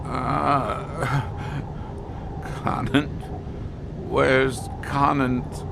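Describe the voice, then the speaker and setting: An actor playing an unhinged, paranoid man in an audio drama, talking in halting phrases broken by manic laughter. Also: little pitch movement, creaky, heavily acted. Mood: crazed, suspicious. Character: unhinged man gripped by paranoid terror of an imitating monster. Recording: constant noisy rumble behind the voice.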